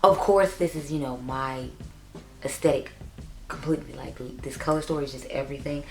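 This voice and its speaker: A young woman with a raspy voice, chatting casually and upbeat as she reviews makeup for her vlog. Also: rumbling low, fairly quick, a little mellow. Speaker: beauty YouTuber. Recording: echoey room.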